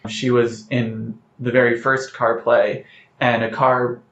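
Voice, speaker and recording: A man with a middle-pitched voice answers as a guest in an interview. The speech is articulate and explanatory, told like anecdotes. The sound is echoey and a little dull. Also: flat delivery, takes it slowly, fairly bright tone. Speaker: playwright guest. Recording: roomy, slightly dull sound with faint noise.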